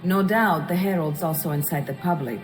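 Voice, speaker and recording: A woman playing a worldly Florentine advisor in a video game, calm and deliberate, with an Italian accent. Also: low voice, lightly acted, rough recording. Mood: cool, advising. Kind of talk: dramatic video game dialogue. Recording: music playing behind the voice.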